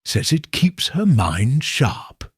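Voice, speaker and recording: A man voicing a portly, genial gentleman making small talk. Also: medium pitch, very animated, slow pace, breathy edge, dry recording, studio quality, full character voice. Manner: genial, chatty. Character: portly genial middle-aged gentleman on a train.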